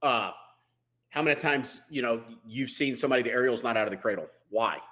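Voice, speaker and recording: A man's voice: mid-range voice, a little fast, pushed and bright. A man speaking as a veteran firefighter in a conversational interview, with a blunt, colloquial and emphatic manner. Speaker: veteran firefighter, podcast guest.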